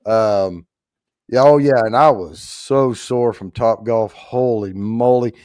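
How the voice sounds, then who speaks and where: Hoarse man hosting a casual livestream. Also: mid-range voice, takes it slowly, a little mellow, no echo, studio quality. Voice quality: rough, strained voice. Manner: rambling, folksy, holding back volume. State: hoarse, worn out after allergy attack. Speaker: host of a whiskey giveaway livestream.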